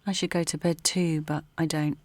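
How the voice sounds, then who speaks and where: Alice, an earnest, steady mum, slightly low voice, dry close mic. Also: female voice, moderate pace, dry recording. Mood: reflective. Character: Alice, determined mother and campaigner. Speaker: narrator and lead character. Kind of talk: audio drama narration.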